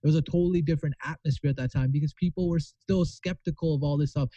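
An earnest young man from Pakistan tells his story in a casual interview, rambling and restarting as he goes. His voice is mellow and dark. It is recorded close and dry, with a dull, muffled sound. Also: a little high, steady tone, fast, dry recording. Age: young adult. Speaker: guest.

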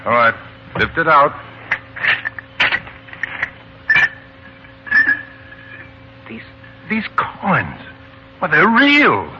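A man's voice: on the high side, huge pitch swings, takes it slowly, a little hiss or hum, exaggerated voice. An actor playing an earnest, insistent, plain-spoken man in a 1940s radio play, with an emphatic theatrical delivery. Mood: puzzled, frank. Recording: dull lo-fi 1940s radio, faint music.

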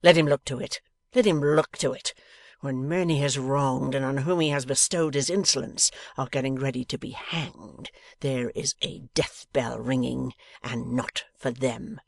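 A man's voice: fairly high pitch, steady tone, measured pace, a bit bright, no room sound. A man voicing a tense schoolmaster in a novel, intense and impatient, with rage held barely in check. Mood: concentrated rage. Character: tense, haggard schoolmaster seething with repressed rage. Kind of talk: dramatised novel reading.

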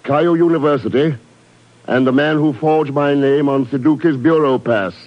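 An actor playing a terse, determined secret agent in a 1950s radio spy drama. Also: male voice, a little high, steady tone, normal speed, natural voice. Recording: dull vintage radio recording.

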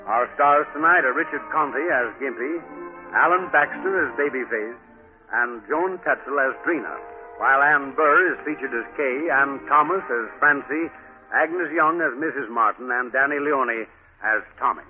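A man's voice: medium pitch, moderate pace. A man announcing a radio play in formal, scripted 1940s broadcast style. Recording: tinny old radio broadcast with music.

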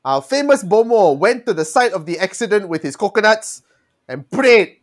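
A man's voice: sits high, expressive, moderate pace. A young man with a Malaysian English accent, co-hosting a casual podcast, telling stories with mocking impressions and swearing. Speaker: podcast co-host. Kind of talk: casual chat.

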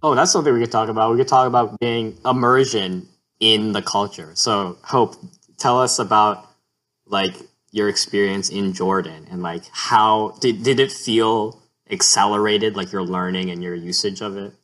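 A young man with a somewhat low voice joins a casual chat. He speaks briskly as he throws out a new topic and turns it into a question for a friend. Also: steady tone, a bit bright, rough recording.

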